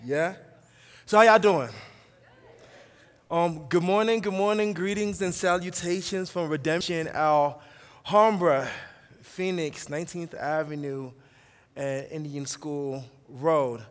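A man's voice: fairly high pitch, steady tone, slow, long pauses. A middle-aged man from New York with an American accent, preaching a sermon with emphatic repetition and conversational asides to the congregation. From New York, USA. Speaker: guest preacher, pastor. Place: large reverberant room, preaching to a congregation. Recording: long hall reverb.

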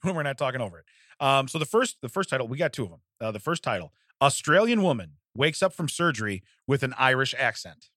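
A man's voice: medium pitch, sing-song, quick pace, bright, forceful tone, no echo, studio quality. A man in his forties co-hosting a comedy podcast, chatting casually and reading out odd news with rambling, jokey asides. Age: 40s.

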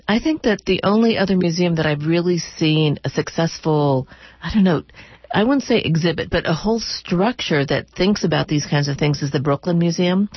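A woman's voice: lowish voice, no echo, dull audio. A woman interviewing on radio, forming long, unscripted questions in a hesitant, think-aloud way.

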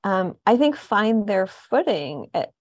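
A woman's voice: medium pitch, sing-song, takes it slowly, no echo, lo-fi sound. A woman with an American accent hosting a conversational interview, phrasing her thoughts in a reflective, tentative way. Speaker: host, arts reporter.

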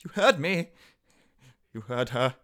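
An actor playing a desperate, unhinged husband in a horror radio drama, theatrical, shifting between mock politeness and frantic pleading. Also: male voice, medium pitch, animated, takes it slowly, a bit bright. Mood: distraught. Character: desperate, unhinged husband hiding his monstrous cat wife.

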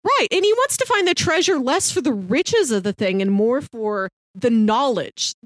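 A woman's voice: fairly high pitch, animated, normal speed, no echo, studio quality. A woman co-hosting a podcast in casual film discussion, opinionated in how she talks. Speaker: podcast co-host.

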